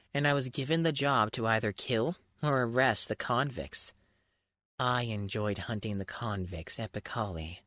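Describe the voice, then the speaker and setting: A man's voice: on the low side, fairly bright tone, no echo, studio quality. A man reading a scripted first-person story aloud as a dramatic, mock-grand audiobook narrator. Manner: theatrical, mock-grand delivery. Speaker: fan fiction audiobook narrator.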